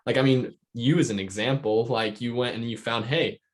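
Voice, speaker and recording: A man's voice: medium pitch, fairly even intonation, normal speed. A man hosting a casual interview podcast, rambling and enthusiastic, peppering his sentences with "like". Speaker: podcast host.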